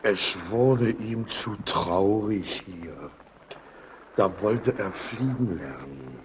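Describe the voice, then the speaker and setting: A man's voice: on the low side, expressive, very slow. An actor playing a menacing superior in a German radio play, needling and mock-friendly as he bullies a timid underling. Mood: taunting, sly. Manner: needling, insinuating, mock-friendly, swinging from shouts to mutters. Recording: dull, noisy old radio play recording.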